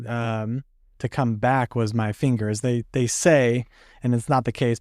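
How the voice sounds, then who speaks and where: A man's voice: mid-range voice, a little mellow, no echo. Young man, podcast guest, candid storyteller, fillers and laughs, dry close sound. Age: young adult. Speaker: podcast guest, stroke survivor. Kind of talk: casual conversation.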